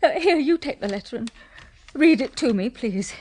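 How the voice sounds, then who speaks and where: An actress with a British accent playing an anxious, polite new widow in a 1970s radio drama. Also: female voice, high voice, expressive, normal speed, a little mellow, breathy edge. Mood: shaken, pleading.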